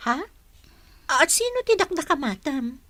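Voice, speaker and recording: A woman's voice: high-pitched, very animated, unhurried, fairly bright tone, no room sound, exaggerated voice. A woman playing a wronged but resilient young single mother in an Ilocano radio drama, sincere and emotional. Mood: guarded.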